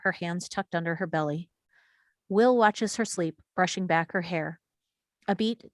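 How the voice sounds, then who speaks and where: A woman's voice: lowish voice, no room sound, studio quality. A woman reading stage directions as scripted narration at a screenplay table read.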